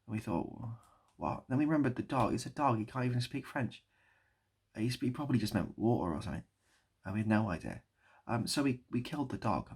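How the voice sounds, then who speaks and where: Husky, gravelly British man rambling. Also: medium pitch, expressive, fast, a little mellow. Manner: mock-nostalgic, repeats phrases and asks the listener to remember. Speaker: online video creator and host. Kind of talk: rambling improvised storytelling to camera.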